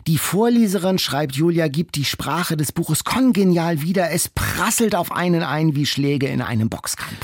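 A man hosts a casual podcast. His voice sits a bit high for a man and has a husky, unpolished grain, recorded very dry and close. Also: animated, moderate pace, few pauses, dry recording. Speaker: podcast host.

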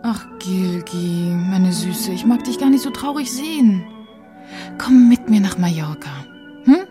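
A woman's voice: on the low side, lively intonation, a little slow. An actress playing a breezy, worldly woman friend in a radio play, playful, affectionate and mocking. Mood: coaxing. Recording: music behind.

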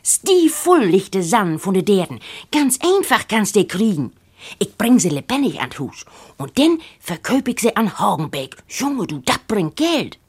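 A woman's voice: medium pitch, very animated, measured pace, breathy edge. A woman voicing a boastful, scheming boy with eager bravado in a dramatic story reading. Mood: excited, bragging. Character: boastful, scheming boy planning a seal hunt.

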